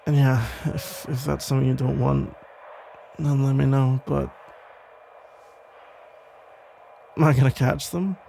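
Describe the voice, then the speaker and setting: A man playing a protective, tender police officer still in love, speaking haltingly in an intimate ASMR roleplay. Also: medium pitch, fairly even intonation, gravelly, a little hiss or hum, natural voice. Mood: earnest, careful. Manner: hesitant, halting, rambling with sighs and soft chuckles. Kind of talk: ASMR roleplay, intimate one-sided conversation.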